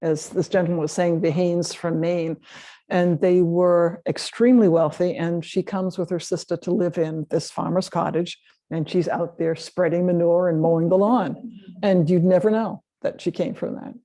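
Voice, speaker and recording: A woman with a fairly low, mellow voice gives a history talk and answers questions from a live audience. She speaks conversationally and keeps affirming listeners with quick "yeahs". She often breaks off and restarts her sentences. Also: steady tone. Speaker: presenter of a local history talk. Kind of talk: lecture and audience Q&A. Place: meeting room with a live audience.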